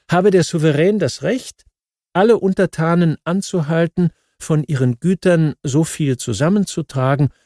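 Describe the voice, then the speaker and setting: A man reads a history book as scripted audiobook narration, in a measured, factual manner. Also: on the high side, dark tone, dry recording, studio quality.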